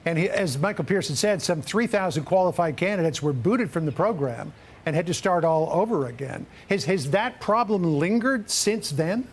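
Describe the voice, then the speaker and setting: A man's voice: sits high, lively intonation, normal speed, a little hiss or hum. An American man working as a news anchor, setting up and asking questions in a broadcast interview.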